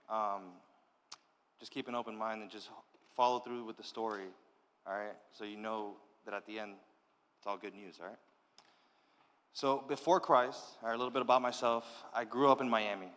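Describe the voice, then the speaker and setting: A man's voice: medium pitch, a bit bright, big-room sound. A man born in Cuba giving a casual personal testimony to a youth group, often checking in with "all right?". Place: church youth hall.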